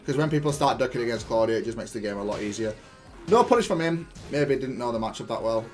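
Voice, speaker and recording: A man's voice: mid-range voice, expressive, fast talker. Man commentating gameplay over music. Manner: explanatory, jargon-heavy, thinking aloud. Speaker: gaming YouTuber and player analysing own match.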